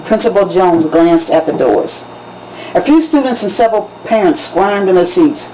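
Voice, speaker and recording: A woman, an author reading from a novel in an expressive, dramatic audiobook style with emphatic storytelling. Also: lowish voice, normal speed. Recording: dull lo-fi recording with background noise.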